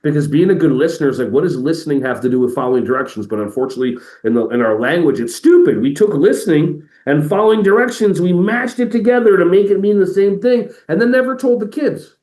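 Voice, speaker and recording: Animated man in a roomy-sounding room. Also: fairly high pitch, fast, hardly any pauses. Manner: energetic, jumps into playful quoted voices. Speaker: podcast guest, educator and motivational speaker. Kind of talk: animated conversational interview.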